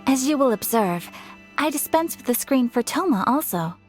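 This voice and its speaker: A woman's voice: high voice, animated, moderate pace, faint music behind. A woman voicing a gracious, refined young noblewoman in a video game, speaking in a formal, courteous, poised way.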